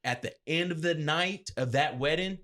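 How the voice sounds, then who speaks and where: A man's voice: on the high side, moderate pace, a bit bright. A man speaking as an interview guest and event DJ, telling stories in a casual, emphatic, slangy way. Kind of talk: casual anecdotal storytelling.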